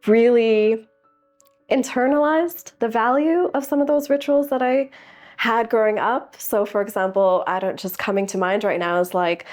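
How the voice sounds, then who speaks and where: A woman's voice: fairly high pitch, fairly even intonation, moderate pace, a little mellow, soft background music. A woman in her early thirties answering interview questions in a thoughtful, earnest way, often using filler words. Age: early 30s. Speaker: interview guest speaker. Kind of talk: reflective conversational answers.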